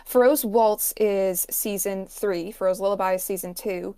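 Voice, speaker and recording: A woman's voice: mid-range voice, fairly even intonation, measured pace, mellow, clean tone, no room sound. A woman guesting as a music expert, explaining things in a casual, enthusiastic way.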